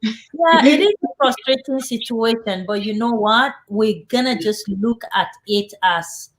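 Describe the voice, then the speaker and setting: A woman's voice: fairly high pitch, measured pace, poor audio. A woman hosting a live online talk show, chatty and upbeat, with fillers and small restarts. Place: home studio in Canada.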